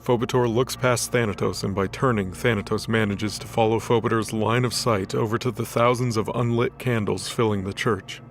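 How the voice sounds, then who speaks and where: A man with a fairly low voice narrates a scripted story. He reads descriptive prose as the narrator of an audio drama, with light music underneath. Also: steady tone, moderate pace, hardly any pauses. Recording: soft background music bed.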